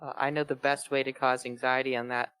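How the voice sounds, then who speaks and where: A man's voice: mid-range voice, little pitch movement, a little fast, sharp tone, clear, resonant tone. A man chatting and joking casually as one of a group reading fan fiction aloud. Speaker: reader on a bad fanfiction show.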